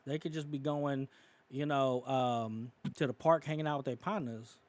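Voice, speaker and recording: A man from California talks through his views on a podcast in a loose, conversational way. He circles around his points with fillers like "you know" and "um", restarting sentences as he goes, at a middling pitch. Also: steady tone, normal speed, a little mellow, no echo. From California, United States. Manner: rambling, many fillers and restarts. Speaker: podcast co-host. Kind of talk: casual opinionated discussion.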